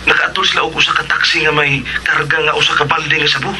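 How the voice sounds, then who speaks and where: A woman's voice: low voice, flat delivery, fast talker, music in the background, close to an everyday voice, poor audio. A woman in a radio drama playing a worried, apologetic friend who is seeking help.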